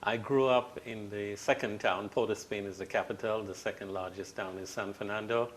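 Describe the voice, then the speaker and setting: An older man, answering as a guest in an interview and telling his story in a measured, reflective way. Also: fairly low pitch, fairly even intonation, slight background noise, rough recording. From Trinidad.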